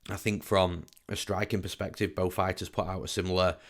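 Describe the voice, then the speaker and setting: A man who is a little under the weather and croaky, giving an informal sports breakdown as an analyst and host. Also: low voice, flat delivery, a little fast, a bit bright. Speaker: MMA betting analyst, show host. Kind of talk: informal fight breakdown commentary.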